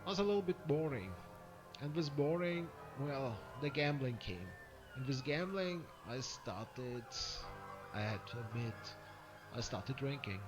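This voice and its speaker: An actor with an Austrian accent playing a weary, sardonic ex-cop turned private eye in a game intro, with music behind. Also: male voice, mid-range voice, animated, measured pace, lightly acted. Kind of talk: hard-boiled game intro narration.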